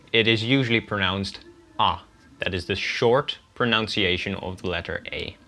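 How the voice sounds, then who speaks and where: Brief: young Dutch-native man, lowish pitch, bright tone, steady explainer. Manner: clear, methodical explaining with spelled-out examples. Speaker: guest language teacher. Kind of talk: scripted pronunciation lesson.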